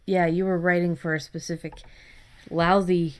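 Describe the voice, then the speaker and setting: A woman's voice: lowish voice, flat delivery, moderate pace, smooth. A woman co-hosting a casual conversation about writing, talking candidly and with some self-deprecation. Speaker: podcast co-host and fiction writer.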